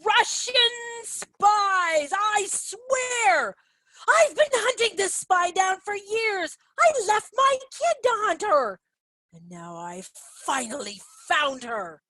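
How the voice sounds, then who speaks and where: A woman playing a frantic, paranoid spy hunter who shouts about conspiracies, in an amateur stage play. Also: very high-pitched, big pitch swings, slow pace, a little hiss or hum, exaggerated voice. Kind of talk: amateur theatre acting.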